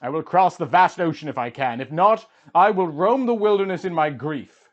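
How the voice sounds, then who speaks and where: A man's voice: fairly high pitch, expressive, moderate pace, close to an everyday voice. Man acting a grief-stricken warrior king, muffled recording. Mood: defiant grief. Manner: impassioned, lamenting declamation.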